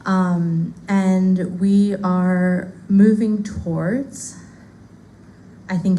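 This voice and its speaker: A woman poet, Spanish her first language, answering questions at a reading. Her voice sits a little low, and she talks casually, with plenty of "um" and "like." A faint hum runs in the background. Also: mellow, low-quality recording. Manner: casual, chatty, many fillers and "like". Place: bookstore loft.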